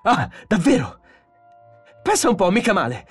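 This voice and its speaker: A male narrator acts out a hot-headed space engineer in a science fiction audiobook. He shouts in a raised, strained-high pitch, scornful and crude, swinging widely in tone. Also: wildly swooping pitch, moderate pace, slightly rough, soft background music, exaggerated voice. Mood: scornful, enraged. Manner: roaring, shouting, sarcastic. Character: hot-tempered, foul-mouthed space station engineer man.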